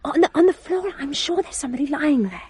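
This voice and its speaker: A woman's voice: sits high, animated, quick pace, a little mellow, a little hiss or hum. An actress playing a frightened, jittery woman who stammers urgently in a haunted house radio play. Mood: nervous, insistent. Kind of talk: radio drama acting.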